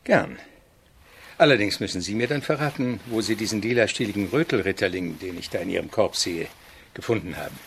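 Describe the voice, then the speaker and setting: An actor playing a polite, exacting avant-garde composer in a radio drama, speaking in a measured, questioning, instructive manner with a husky voice. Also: male voice, lowish voice, a bit bright, a little hiss or hum. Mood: absorbed, friendly. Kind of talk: German radio drama acting.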